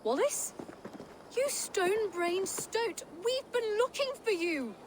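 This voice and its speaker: A woman's voice: on the high side, animated, moderate pace, background noise, close to an everyday voice. A woman playing a plain-spoken young huntress in a video game, earnest and direct. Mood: exasperated. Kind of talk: dramatic video game acting.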